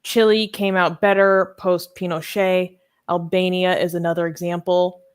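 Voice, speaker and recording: A woman's voice: fairly low pitch, little pitch movement, normal speed, clean tone. A young woman co-hosting a live Q&A chat, thinking aloud and hesitant, with many ums. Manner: thoughtful, hesitant, lots of ums and laughs. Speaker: co-host.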